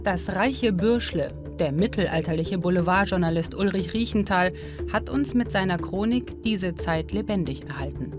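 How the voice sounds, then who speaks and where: A woman giving scripted documentary narration in a measured, even storytelling delivery. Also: lowish voice. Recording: narration over loud music.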